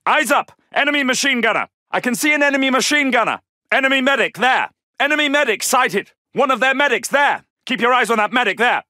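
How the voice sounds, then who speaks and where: High-pitched, urgent soldier. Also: male voice, lively intonation, a little fast, bright, forceful tone, no echo, clean studio sound, heavily acted. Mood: urgent, alert. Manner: clipped, urgent, military. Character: alert, gruff British male Second World War soldier. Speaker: video game voice actor. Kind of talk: shouted combat callouts.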